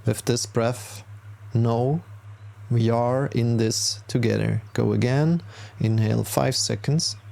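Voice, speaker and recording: Male yoga nidra guide, mid-pitched and unhurried, soothing instructional read with plenty of space between phrases. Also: fairly even intonation, creaky, a little mellow. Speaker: yoga nidra meditation teacher. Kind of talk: guided meditation narration.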